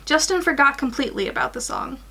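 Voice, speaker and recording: A woman's voice: high voice, expressive, fast, pushed and bright. A woman in her twenties reading a fan story aloud and chatting informally in a small room at home. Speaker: guest reader on a fan fiction livestream.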